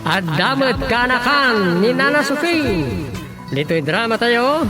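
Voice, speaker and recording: Male radio drama announcer, Ilocano accent. High, very animated pitch, emphatic theatrical delivery, music underneath. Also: measured pace. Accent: Ilocano Filipino.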